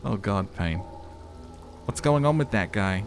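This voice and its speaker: Deep-voiced man narrating a game playthrough. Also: expressive, unhurried, faint music behind. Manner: dramatic storytelling mixed with casual, sweary asides. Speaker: let's player. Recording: faint background game noise.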